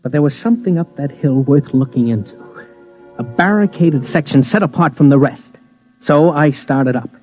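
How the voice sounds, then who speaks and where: A man's voice: a little high, normal speed, darkish tone, close to an everyday voice, rough recording. An actor playing a cynical, wary newsman who narrates a 1950s radio drama in a terse, hard-boiled way. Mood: grim, wry. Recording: old radio recording with music underneath.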